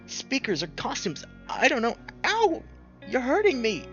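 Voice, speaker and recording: A frightened young man, as a male narrator plays him, pleads and accuses in breathless outbursts. The voice is pushed high and swings about wildly, as in a dramatic visual novel. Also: huge pitch swings, unhurried, fairly bright tone, dull audio, soft background music, full character voice. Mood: hysterical, pleading.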